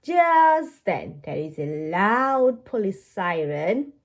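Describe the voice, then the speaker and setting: A woman reading a storybook aloud to young children in an expressive, deliberate way. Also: mid-range voice, vocal fry. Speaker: children's book read-aloud narrator.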